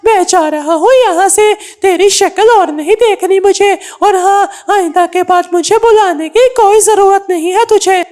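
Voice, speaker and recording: A woman's voice: very high voice, a little slow, few pauses, darkish tone. A woman voicing an earnest, easily upset male parrot in a children's cartoon, emphatic and scolding. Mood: offended, scolding. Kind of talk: Hindi kids' cartoon dubbing.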